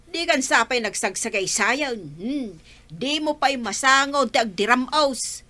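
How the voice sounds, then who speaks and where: A woman's voice: on the high side, lively intonation, a little slow, sharp tone. An actress playing a scolding, emphatic village mother in an Ilocano radio comedy drama. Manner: nagging, emphatic. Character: scolding village mother nagging her phone-absorbed child.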